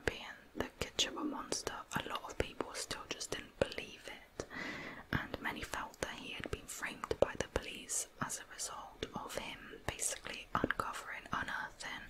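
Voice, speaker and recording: Female ASMR true-crime narrator, fully whispered, soft explanatory delivery. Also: rough recording.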